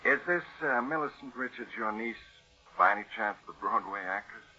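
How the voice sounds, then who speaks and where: An actor playing a polite, earnest family lawyer with a formal, emphatic manner in 1940s radio style. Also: male voice, on the low side, animated, moderate pace, pushed and bright, husky, faint music behind, full character voice. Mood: courteous. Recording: muffled old radio recording.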